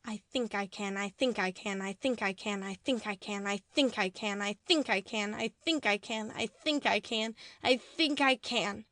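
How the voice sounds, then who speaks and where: A woman playing a kind, modest and determined little train engine in a children's storybook reading, gentle and earnest. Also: mid-range voice, moderate pace, few pauses, no echo, studio quality.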